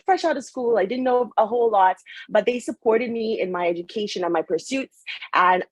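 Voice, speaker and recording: A woman's voice: medium pitch, slightly rough, dry recording. A middle-aged woman from Saint Lucia, a nurse and hospital administrator, giving a friendly, conversational talk on a video call.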